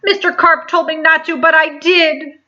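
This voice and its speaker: A woman's voice: very high-pitched, little pitch movement, moderate pace, bright, forceful tone, pitched above the performer's own voice. A woman voicing an anxious young boy in a storybook, urgent and pleading. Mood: urgent, alarmed. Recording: dull lo-fi sound.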